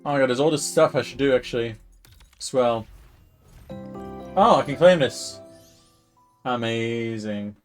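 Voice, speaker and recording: Guy streaming a game, chatting casually and thinking out loud, mid pitch, music going behind him. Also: a little slow, pauses often. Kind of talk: casual live stream commentary.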